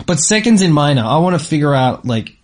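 A man's voice: fairly high pitch, sing-song, a little fast, darkish tone. A young man co-hosting an anime show, explaining his views in casual, emphatic conversation. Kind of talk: casual chat, discussing anime.

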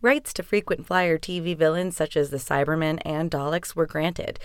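A woman's voice: lowish voice, gravelly, dry recording, studio quality. A woman hosting a podcast, reading scripted narration. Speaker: podcast host.

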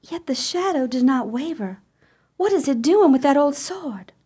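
A woman's voice: high-pitched, lively intonation, normal speed, warm tone. A woman playing a timid, easily frightened, grieving sister in a radio chiller, with a fearful, pleading, emotional delivery. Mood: fearful, horrified. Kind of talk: theatrical radio play acting.

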